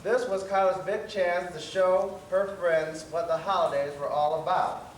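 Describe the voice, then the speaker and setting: A man's voice: sits high, fairly even intonation, measured pace. Guy telling a kids story on stage, echoey with a bit of background noise. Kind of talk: children's story narration on stage. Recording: echoey stage, distant mic, faint noise.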